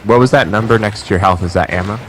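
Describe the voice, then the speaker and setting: A man's voice: lowish voice, quick pace, a bit bright, poor audio. A man giving casual, offhand, teasing commentary as co-host of a gaming stream. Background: video game music.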